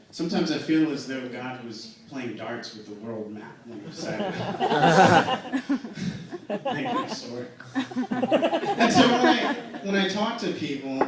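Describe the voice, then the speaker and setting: Slow, dry young man on a stand-up stage. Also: on the high side, slight background noise. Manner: slow, dry, self-mocking storytelling. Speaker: stand-up comedian. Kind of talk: stand-up routine. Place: comedy club stage. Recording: echoey club room miked from a distance.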